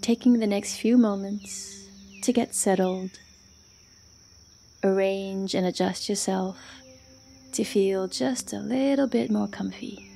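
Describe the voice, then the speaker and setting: Female meditation guide: very unhurried delivery, soft and breathy with gravelly fry, faint music underneath. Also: mid-range voice, slight background noise. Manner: soft, soothing, breathy, with long pauses between short phrases. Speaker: meditation and yoga instructor. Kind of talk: guided yoga nidra meditation.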